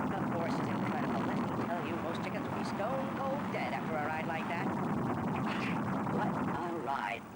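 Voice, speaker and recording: An excitable chicken TV reporter from a cartoon game. The voice sits fairly high and swings widely through dramatic, overblown announcer lines. Loud noise runs underneath. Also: male voice. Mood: mock-solemn. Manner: dramatic, exaggerated announcer delivery. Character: excitable male chicken TV news reporter, melodramatic. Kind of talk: cartoon mock news commentary.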